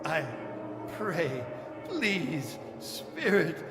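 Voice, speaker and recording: An old miser in a stage radio drama, high in pitch and very slow, stammering through every emotion with melodrama. It comes from an echoey hall with music behind. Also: male voice, sing-song, heavily acted. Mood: earnest pleading. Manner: halting, stammering, melodramatic delivery. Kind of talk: theatrical audio drama performed on stage. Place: live stage in a library hall.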